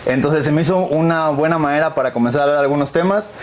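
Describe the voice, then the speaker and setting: A young adult man, casually hosting an interview in a halting way, repeating words as he goes. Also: fairly high pitch. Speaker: podcast host, interviewer.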